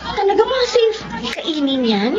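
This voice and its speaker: A woman's voice: sits high, big pitch swings, measured pace. A woman playing a worried, doubtful young eatery helper with a Filipino accent in a radio drama. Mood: worried, questioning. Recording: muffled radio drama, noisy ambience.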